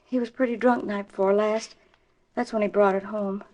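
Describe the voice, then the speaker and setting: An actress playing an anxious, pleading wife in a 1950s radio drama, speaking hesitantly and earnestly. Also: female voice, medium pitch, fairly even intonation, moderate pace, a little mellow, natural voice. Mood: worried. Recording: dull vintage 1950s radio recording.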